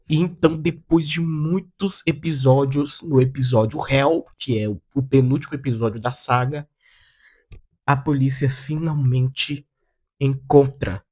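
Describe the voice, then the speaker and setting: A person with a Brazilian Portuguese accent giving casual opinion commentary as a video essayist, in a blunt, slangy, sarcastic way. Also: medium pitch.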